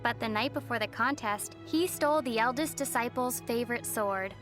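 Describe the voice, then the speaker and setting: A woman voicing a gentle, thoughtful young traveller heroine in a video game, with a soft, measured, musing delivery. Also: on the high side, close to an everyday voice. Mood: storytelling. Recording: music behind the voice.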